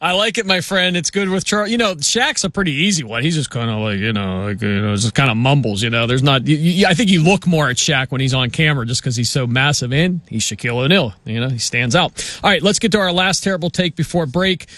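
Upbeat guy hosting football talk radio, kinda high voice, jokey. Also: animated, fast, few pauses, a bit bright, dry recording. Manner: upbeat, joking, runs the segment. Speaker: radio host. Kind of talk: casual sports talk.

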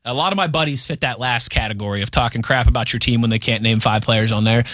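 A man's voice: medium pitch, fast, fairly bright tone, no room sound, studio quality. An American man hosting a sports radio show with casual, bantering talk.

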